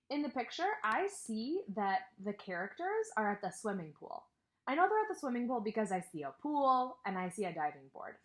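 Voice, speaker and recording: A woman teaching kindergarten from home, reading a story aloud and asking questions she then answers. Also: mid-range voice. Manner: animated, asks questions and answers them herself. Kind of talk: read-aloud lesson for young children.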